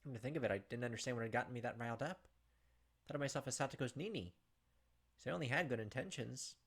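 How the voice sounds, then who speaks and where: A man's voice: mid-range voice, fast, breathy edge. A man hosting a gaming video, reading a visual novel aloud and adding casual commentary over game music. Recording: music behind the voice.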